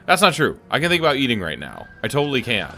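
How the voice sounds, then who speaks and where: A man's voice: medium pitch, huge pitch swings, fast talker, pushed and bright, soft background music. A man playing a game on camera, thinking aloud and making wry jokes as he goes. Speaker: gaming YouTuber. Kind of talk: let's play commentary.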